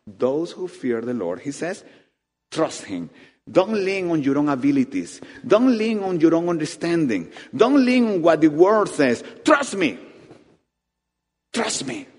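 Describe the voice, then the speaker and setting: A man with preaches in a hall with a long, ringing reverb. His voice sits a little high for a man and leaps up and down with animated, emphatic swings. He drops to soft asides, then climbs to ringing peaks. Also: a little slow, breathy edge. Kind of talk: sermon. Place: church hall.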